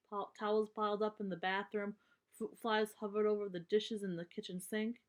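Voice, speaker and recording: Woman reading a children's story, slightly halting. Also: medium pitch. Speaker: storybook reader. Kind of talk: children's story read-aloud.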